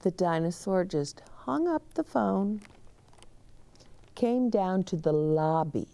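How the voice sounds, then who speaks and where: A woman's voice: fairly low pitch, animated, slow, creaky, dark tone. A woman hosting a children's story time, reading aloud expressively with chatty asides, explanations and questions for young listeners.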